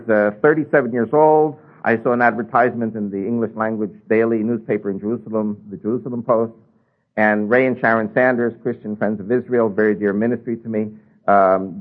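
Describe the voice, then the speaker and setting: Older American man preaching over a tinny line. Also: fairly low pitch. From United States. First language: Spanish. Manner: storytelling testimony with many ums and uhs. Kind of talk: sermon.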